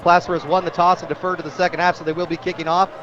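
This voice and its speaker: A man's voice: sits high, level tone, rapid-fire, highs cut off. An American man doing live play-by-play radio commentary of a football game from a stadium booth. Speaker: play-by-play announcer. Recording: stadium crowd noise behind voice. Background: crowd at an outdoor stadium.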